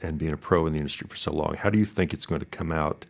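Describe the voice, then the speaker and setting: A man's voice: a bass voice, very fast. A man hosting a casual interview, asking questions in loose, rambling phrases that he rewords as he goes. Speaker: podcast host, interviewer.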